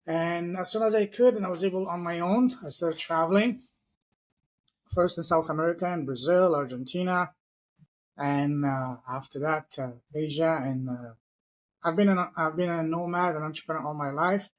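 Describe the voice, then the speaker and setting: A man's voice: on the high side, moderate pace. A man who grew up in France, a guest speaking English as an acquired language, telling his story earnestly with frequent fillers on a video call. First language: not English. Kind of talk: online interview.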